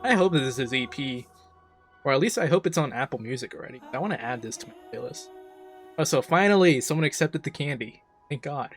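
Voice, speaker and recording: A man's voice: medium pitch, expressive, a little fast. A man giving casual, emphatic, enthusiastic commentary as a game reaction streamer. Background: faint game music.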